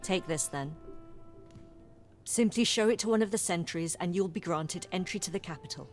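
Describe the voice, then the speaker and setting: A woman playing a composed, courteous officer of an empress in a fantasy video game, speaking in measured, archaic, formal phrases. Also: lowish voice, faint music behind, natural voice. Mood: earnest, formal.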